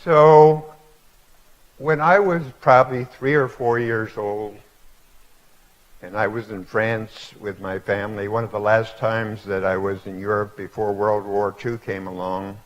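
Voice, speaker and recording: A man in his eighties giving a reflective public lecture to a live audience, telling stories in a halting way with many fillers. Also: lowish voice, fairly even intonation, highs cut off. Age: 80s. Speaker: guest speaker. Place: auditorium before a live audience.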